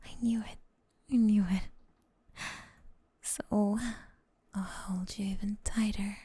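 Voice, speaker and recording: A woman's voice: mid-range voice, little pitch movement, slow, dark tone, slightly rough, no echo. A woman voicing a doting, possessive snake-girl girlfriend with sweet menace, in an intimate, coaxing ASMR roleplay that often drops to a whisper. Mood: possessive, smug.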